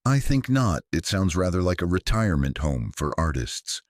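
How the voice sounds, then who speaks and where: A man's voice: low voice, sing-song, normal speed. A man voicing a prim, guarded old small-town woman in a story, with precise, drily genteel phrasing. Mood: dry, composed.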